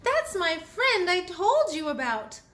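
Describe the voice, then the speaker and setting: A woman's voice: very high-pitched, big pitch swings, takes it slowly, a bit bright, pitched above the performer's own voice, exaggerated voice. A woman voicing a supportive, easygoing young girl bee in a storybook, bubbly and exclamatory. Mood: surprised.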